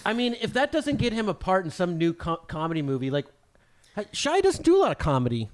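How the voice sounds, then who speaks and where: A man's voice: high-pitched, very animated, brisk. A man co-hosting a podcast, giving casual running reactions to a clip.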